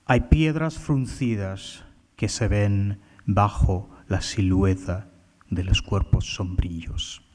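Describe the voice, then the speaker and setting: Male poet, low-pitched, unhurried and halting, recorded with long hall reverb. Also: fairly even intonation, darkish tone. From India. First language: Bengali. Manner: slow, halting, many filler sounds. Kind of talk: poetry reading with commentary.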